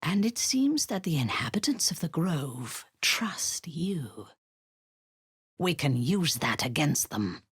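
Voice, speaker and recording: A cold and cruel drow commander, fanatical in her faith, speaking slowly with quiet menace. Her voice is low and husky, sliding into whispers, yet it rises and falls widely. The recording is a dry, close game studio take. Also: big pitch swings, dry recording, exaggerated voice. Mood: scornful. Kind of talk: video game voice acting. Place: studio booth. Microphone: close studio mic, dry.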